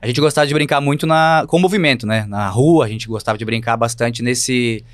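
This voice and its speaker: A man in his thirties from Santa Catarina with a local accent, a teacher speaking as a guest in a studio interview, playful and lively, often adding "né". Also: mid-range voice, no room sound. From Santa Catarina, Brazil. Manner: lively, playful, fast-talking with many "né". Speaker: guest, physical education teacher. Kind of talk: podcast interview.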